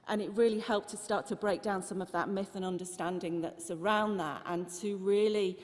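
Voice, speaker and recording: Woman, event organiser, earnest and halting, speaking into a long hall reverb. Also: mid-range voice, fairly even intonation, darkish tone. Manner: earnest, with frequent ums and restarts. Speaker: festival organiser. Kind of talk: open mic conference talk. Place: church hall conference.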